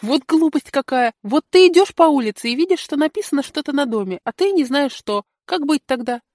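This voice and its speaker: A woman puts on a little kindergarten girl from a storybook. The voice is high and dry, bright-minded, bossy and briskly instructive, like a know-it-all. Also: expressive, no echo. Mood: scornful, insistent. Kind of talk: storybook character dialogue.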